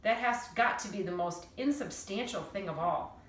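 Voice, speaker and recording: Female read-aloud teacher, bright tone, expressive children's storytelling, recorded off-mic in a room. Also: mid-range voice, moderate pace. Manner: animated, dramatic storytelling with emphatic words. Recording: roomy echo, distant mic.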